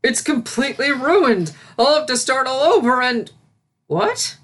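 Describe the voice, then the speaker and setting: Woman putting on a fussy older gentleman unicorn steward. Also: sits high, expressive, unhurried, pitched above the performer's own voice, full character voice. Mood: dismayed. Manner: fussy, flustered exclaiming. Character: fussy older male unicorn royal steward, easily flustered.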